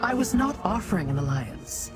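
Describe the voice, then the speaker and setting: A woman's voice: mid-range voice, big pitch swings, moderate pace, lightly acted. A woman voicing a haughty, commanding demon in a fantasy video game, speaking formally and scornfully. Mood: cool, commanding. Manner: imperious, formal. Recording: loud game music behind.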